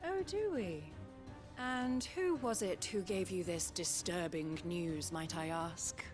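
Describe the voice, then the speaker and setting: A haughty, suspicious female townsperson in a dramatic game. Her lowish voice is pointed and sarcastic, over loud music. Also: takes it slowly. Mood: cold sarcasm. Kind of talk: dramatic video game acting.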